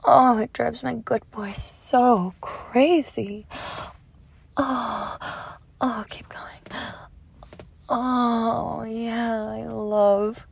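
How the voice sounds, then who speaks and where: Adult woman, higher-pitched and breathy, extremely unhurried; sultry, commanding intimate roleplay delivery with whispers and sighs. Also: fairly even intonation. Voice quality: breathy, often dropping to a whisper. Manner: moaning, sighing, slow sultry coaxing; teasingly dominant. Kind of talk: erotic audio roleplay, intimate partner address.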